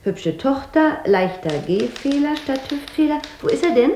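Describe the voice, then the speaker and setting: A businesslike newspaper clerk briskly edits an advertisement. Her pitch is lively. The room is echoey, with a faint hiss behind.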